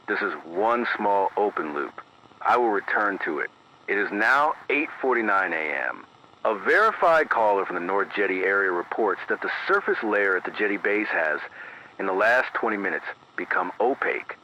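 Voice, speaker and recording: Low, grave male radio newsreader, muffled signal. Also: expressive, sharp tone. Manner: measured, deliberate, grave, clipped official phrasing. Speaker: radio news anchor in a fictional emergency broadcast. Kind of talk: scripted emergency radio news bulletin. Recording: muffled AM radio sound, background static.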